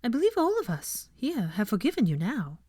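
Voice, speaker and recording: A woman voicing a gentle, dreamy young woman with a soft, wistful way of speaking in a dramatic story reading. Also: a little high, huge pitch swings, a little fast, slightly rough, dry recording, clean studio sound, pitched above the performer's own voice, full character voice. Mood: kind.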